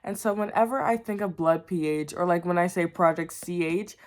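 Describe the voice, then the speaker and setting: Chatty young woman, kinda low voice, bubbly and rambly, talking to her viewers. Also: a little fast. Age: young adult. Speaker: writer vlogger. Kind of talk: casual chat to camera.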